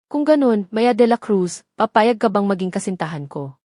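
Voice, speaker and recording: A woman's voice: medium pitch, expressive, moderate pace, mellow, dry recording, clean studio sound, close to an everyday voice. A woman voicing an earnest, bashful young male sweetheart in an audio drama, with a Filipino accent. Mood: earnest, tender.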